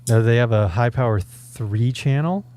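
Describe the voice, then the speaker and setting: A man co-hosting a casual conversation about audio gear in a low-key, conversational way. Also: moderate pace, warm tone, no echo. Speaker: co-host and reviewer.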